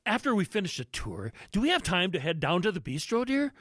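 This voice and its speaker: A man's voice: sits high, wildly swooping pitch, brisk, fairly bright tone, no room sound, studio quality, full character voice. A man playing a suggestible, docile travel agent husband in a comedic audio drama. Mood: cheerful, sentimental.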